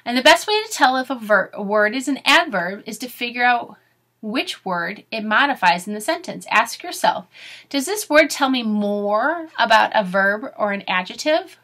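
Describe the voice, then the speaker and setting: A woman in her thirties with a slightly high, very bright voice. She talks as a teacher to children, clear in intent and expressive, as in a read-aloud lesson. She is recorded at arm's length in a room at home. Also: moderate pace. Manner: expressive, instructive, addressing children directly. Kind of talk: storybook read-aloud with grammar explanations. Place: small furnished room at home.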